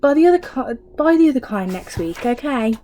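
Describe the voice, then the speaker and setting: A woman's voice: sits high, expressive, fairly quick, dark tone, slight background noise, pitched above the performer's own voice. A woman voicing a nasty, sly old man who grumbles and taunts, in a storybook reading. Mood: grumbling. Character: nasty, gleeful old man with hairy beard, sly husband.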